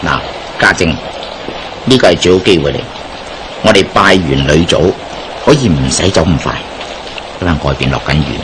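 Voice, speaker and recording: Hong kong cantonese radio drama plotter, deep voice, very lively intonation, husky, confident and persuasive. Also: male voice, normal speed, long pauses, over music, poor audio. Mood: resolute. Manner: persuasive, explaining. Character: scheming, confident male plotter bent on justice.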